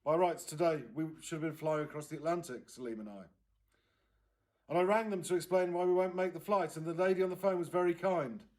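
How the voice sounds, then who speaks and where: A man plays a distraught aunt in a children's storybook. The voice is lifted well above the reader's usual pitch and comes out louder. The delivery is tearful, halting and emphatic. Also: lightly acted. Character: distraught, tearful aunt recounting a missed phone call.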